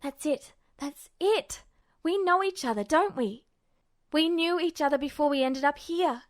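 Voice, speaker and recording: Woman doing a brash hyper teen boy for an audiobook, high voice, pushy and blurting, super emphatic. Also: unhurried. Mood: thrilled. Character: excited, brash, impatient teenage boy with boundless energy. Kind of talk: audiobook-style character dialogue.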